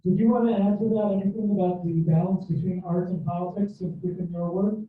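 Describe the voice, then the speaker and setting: A man moderating a festival panel, asking follow-up questions briskly. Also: high voice, fairly even intonation. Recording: distant, echoey, phone-like line.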